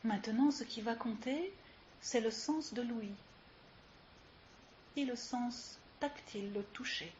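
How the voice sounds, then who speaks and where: A woman with a fairly high voice guides a relaxation meditation very slowly. She gives soothing instructions and leaves long pauses between them. The live recording is a little dull, with hiss behind her. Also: darkish tone. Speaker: yoga nidra instructor. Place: live session with ambient sound.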